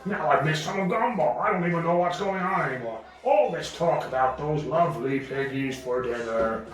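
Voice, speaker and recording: A man's voice: a little high, animated, normal speed, close to an everyday voice. A man voicing a bossy, blustering, greedy dragon father who scolds his son in a homemade children's puppet show. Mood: bossy, scolding. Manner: scolding, exclamatory, swooping. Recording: very echoey room, distant mic.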